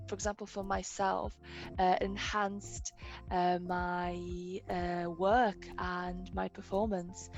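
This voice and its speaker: Gravelly-voiced woman hosting an interview. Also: mid-range voice. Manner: hesitant, with frequent pauses and fillers. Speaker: interview host. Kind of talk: casual interview chat.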